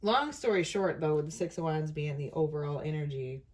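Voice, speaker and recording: Lady with a low voice doing a tarot reading, casual, kinda thinking out loud, lots of pauses. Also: expressive. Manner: conversational, thinking aloud with frequent pauses. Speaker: tarot reader hosting an online reading.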